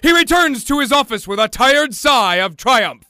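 A man's voice: very high voice, very animated, moderate pace, noisy background. A man playing a pompous, melodramatic narrator of a comic detective radio serial. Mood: grandiose.

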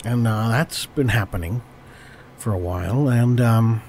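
A man with a cold, hoarse and stammering, hosting an improvised comedy podcast and constantly correcting himself. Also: medium pitch, unhurried, a little mellow, a little hiss or hum. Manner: rambling, stammering, self-correcting. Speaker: podcast host.

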